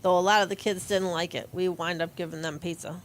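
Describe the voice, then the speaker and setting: A woman's voice: fairly low pitch, fairly even intonation, brisk. A woman reading in a conversational style as a performer in an audio play. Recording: faint background noise.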